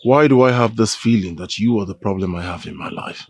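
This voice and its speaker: A man's voice: on the low side, brisk, lightly acted. An actor playing a suspicious man who threatens and accuses a friend. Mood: accusing.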